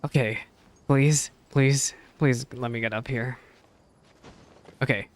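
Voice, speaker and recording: A man's voice: mid-range voice, steady tone, slow. Casual male game streamer thinking aloud. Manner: self-deprecating, thinking aloud. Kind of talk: casual live gameplay commentary, reading game text aloud. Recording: stream with faint background noise.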